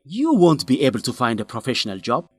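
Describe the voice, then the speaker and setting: An actor playing an earnest, principled young man who urges his friend in a radio drama, with an animated, scolding manner. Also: mid-range voice, quick pace, dry recording. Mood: pleading, scolding. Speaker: friend and fellow researcher.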